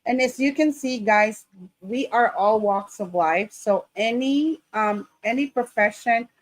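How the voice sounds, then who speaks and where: A woman's voice: a little high, a little slow. A woman from the Philippines co-hosting a live online show, upbeat and full of repeated greetings. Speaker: co-host.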